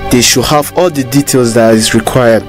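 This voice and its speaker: A man's voice: mid-range voice, steady tone, moderate pace, natural voice. A worried young Nigerian man, played by an actor in a radio drama. His voice sits in a middle range, earnest and emphatic, repeating his points. Faint music plays behind him. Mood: urgent, determined.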